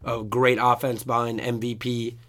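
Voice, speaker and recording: A man co-hosting a sports podcast with a hoarse voice, rambling and joking, often trailing off into a quiet mutter. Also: medium pitch, little pitch movement, moderate pace. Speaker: podcast co-host. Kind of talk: casual sports chat.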